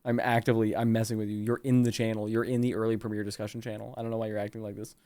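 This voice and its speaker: A man's voice: lowish voice, flat delivery, rapid-fire, warm tone, dry recording. A man who works as a voice actor chatting casually on a live stream, teasing and repeating his phrases. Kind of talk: casual chat.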